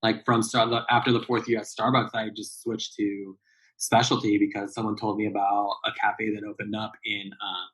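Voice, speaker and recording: A man in his late thirties with a fairly low voice rambles through a casual interview. His talk is full of fillers and restarts as he works through his thoughts. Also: little pitch movement, normal speed, a bit bright, slightly rough, poor audio. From Southern California. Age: 30s. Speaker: barista guest.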